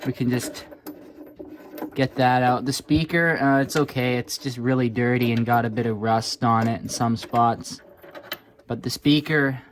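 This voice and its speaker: A man's voice: medium pitch, steady tone, measured pace, a little hiss or hum. A man giving casual, hands-on repair commentary as a hobbyist, thinking aloud in an informal way. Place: indoors.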